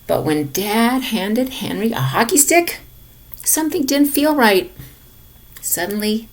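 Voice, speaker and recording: A woman reading a children's picture book aloud in an expressive way, as if to young listeners in a lesson. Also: on the high side, measured pace. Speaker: storybook reader for a lesson.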